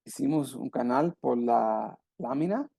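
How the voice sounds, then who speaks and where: A Puerto Rican man lectures on medicine in slow, halting Spanish, circling back over his words. His voice is gravelly and mellow. The sound is dry and close, as on a video call. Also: mid-range voice, animated, no room sound. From Puerto Rico. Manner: halting, with many restarts and repeated words. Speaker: radiologist lecturer.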